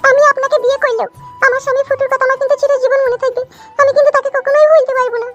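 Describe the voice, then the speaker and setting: A performer voicing a shy, hesitant young widowed woman in an animated comedy, with a squeaky, artificially high voice. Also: very fast, highs cut off, music in the background. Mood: hesitant, firm.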